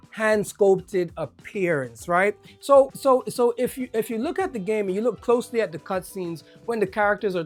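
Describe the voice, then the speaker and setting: Excitable Jamaican-accented game reviewer. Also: medium pitch. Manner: enthusiastic, repeats words when excited. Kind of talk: casual video game review.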